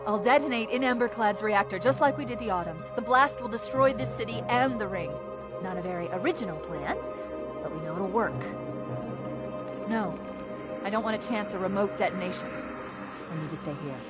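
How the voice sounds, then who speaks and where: An actress playing a determined artificial intelligence in a video game, composed, urgent and sincere. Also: female voice, mid-range voice, lively intonation, fairly quick, over loud music, close to an everyday voice. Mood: resolved. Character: composed, determined female AI companion. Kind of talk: video game drama acting. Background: loud orchestral game score.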